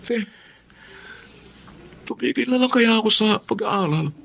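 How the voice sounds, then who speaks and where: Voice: mid-range voice, animated, unhurried, creaky, fairly bright tone. A performer playing a timid, apologetic young student, a younger brother, pleading and hesitant in a radio drama. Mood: anxious, pleading. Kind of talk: Tagalog radio drama acting.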